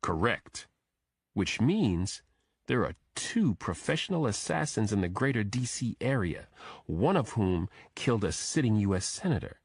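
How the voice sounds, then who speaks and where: A man's voice: fairly low pitch, very animated, measured pace, slightly rough, dry recording, exaggerated voice. A man voicing a serious FBI agent who briefs a colleague in a terse, official way, in an audiobook. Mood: official, intent. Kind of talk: audiobook character dialogue.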